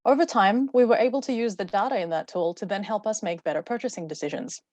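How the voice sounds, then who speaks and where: Brisk woman giving a rehearsed talk. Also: medium pitch, darkish tone, dry recording. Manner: fluent, rehearsed. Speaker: webinar speaker. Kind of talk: prepared talk.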